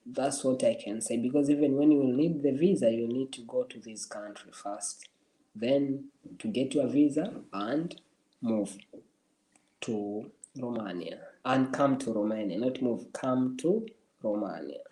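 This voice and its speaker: Man, livestream host, mellow warm tone, encouraging, repeats himself a lot. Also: mid-range voice, unhurried, poor audio. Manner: encouraging, repeats and restarts phrases. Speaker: livestream host answering viewers. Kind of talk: casual livestream advice.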